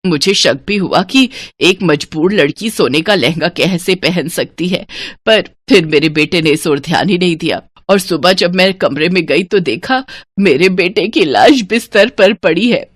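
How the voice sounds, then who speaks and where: A woman's voice: lowish voice, a little slow, breathy edge, dry recording. A woman voicing a grieving, suspicious mother who speaks in an earnest, sorrowful manner.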